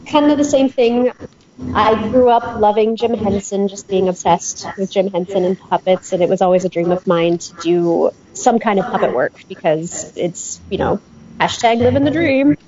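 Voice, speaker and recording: A woman with a husky, hoarse voice from being sick, telling a story as a podcast guest in a chatty, self-mocking way. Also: mid-range voice, moderate pace. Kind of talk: casual storytelling. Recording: dull lo-fi with crowd noise.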